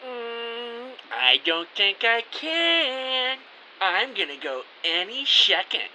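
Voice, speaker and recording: A man's voice: very high voice, sing-song, slow, bright, forceful tone, exaggerated voice, rough recording. A man playing a hapless, anxious everyman, narrating in the first person in an earnest, theatrical way for an audio drama. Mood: pleading. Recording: faint hiss.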